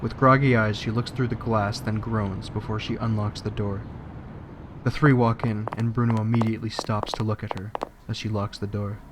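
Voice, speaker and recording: A man with a fairly low voice narrates a scripted audio drama. His delivery is flat and level, with little movement in pitch. Loud background noise runs under him. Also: moderate pace.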